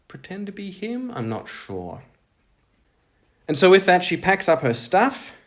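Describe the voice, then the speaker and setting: Man narrating a solo role-playing game. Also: mid-range voice. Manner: thinks aloud while playing, self-deprecating asides. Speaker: host and player of a solo role-playing audio show. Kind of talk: narrated story mixed with casual game commentary.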